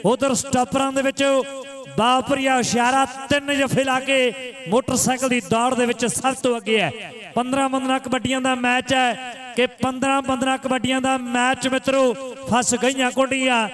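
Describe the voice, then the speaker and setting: Male sports commentator, extremely high voice, live kabaddi calls, repeated rallying phrases. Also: level tone, moderate pace, a bit bright. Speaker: kabaddi match commentator and announcer. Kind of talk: live sports commentary with crowd-rousing announcements. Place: live village kabaddi tournament ground.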